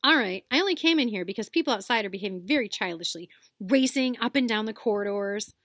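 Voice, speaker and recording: A woman voicing a bossy, sniffy schoolgirl who lectures briskly and primly. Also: sits high, very animated, a bit bright, dry recording, clean studio sound, voice pushed up, heavily acted.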